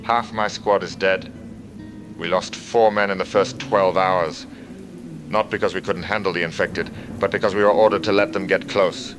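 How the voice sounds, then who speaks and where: Man acting a low-voiced, weary mercenary soldier. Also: fairly even intonation, normal speed, natural voice. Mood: grim, bitter. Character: weary disillusioned male mercenary soldier, bitter and defiant. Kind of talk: audio drama survivor testimony monologue. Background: background music.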